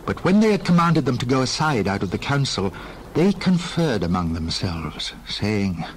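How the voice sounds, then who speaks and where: Male scripture narrator, expressive storytelling, lively swinging intonation, slight background noise. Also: mid-range voice, moderate pace, poor audio. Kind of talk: scripted, dramatized Bible narration.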